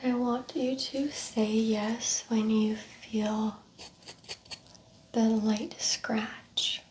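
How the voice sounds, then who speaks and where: A woman speaking softly in an ASMR medical exam roleplay, giving gentle clinical instructions as a doctor. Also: fairly high pitch, level tone, very slow, slight background noise. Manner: soft, whispery, gently clinical instructions and remarks. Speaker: ASMR performer playing a doctor.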